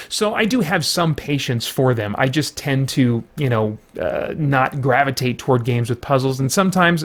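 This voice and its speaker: A man giving a casual spoken game review, rambling with many fillers and restarts and sounding mildly exasperated. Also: mid-range voice.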